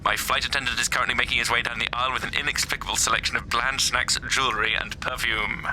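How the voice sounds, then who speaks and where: A man's voice: mid-range voice, flat delivery, quick pace, bright, forceful tone, a little hiss or hum, rough recording. A man playing a snobbish, deluded British detective with a pompous, grandiose way of talking in a comic audio drama.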